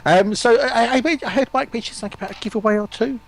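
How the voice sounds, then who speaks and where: A man's voice: very high-pitched, quick pace. A man chatting and bantering casually as a guest on a gaming livestream.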